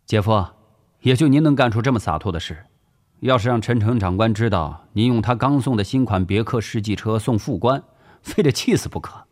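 A man voicing a confident young staff officer in an audiobook, talkative, breezy and forceful. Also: fairly low pitch, brisk, lightly acted. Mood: teasing, lively.

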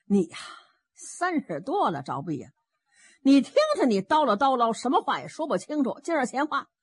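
Woman as a stern, husky, scolding gentleman. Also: fairly low pitch, huge pitch swings, unhurried, no room sound, clean studio sound, exaggerated voice. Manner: stern, chiding. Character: scolding, authoritative elder gentleman, uncle to the underling. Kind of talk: traditional Chinese storytelling.

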